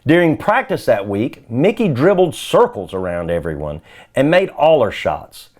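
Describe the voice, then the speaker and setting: A man's voice: medium pitch, big pitch swings, normal speed, darkish tone. A man reading a children's story aloud as scripted narration. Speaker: storybook reader.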